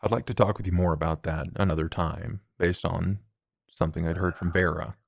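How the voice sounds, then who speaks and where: A poised vampire, as a man plays him, speaking in formal, measured sentences. The voice is very deep and gravelly, recorded close and dry. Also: steady tone, no room sound. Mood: earnest. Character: composed, formal male vampire elder.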